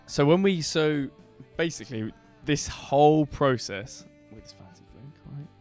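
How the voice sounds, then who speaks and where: A man with a booming, smooth and resonant voice chats casually on a band podcast. He rambles through his story with lots of fillers and restarts. Faint music sits behind him. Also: measured pace, darkish tone. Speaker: band member co-hosting a podcast. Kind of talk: casual chat.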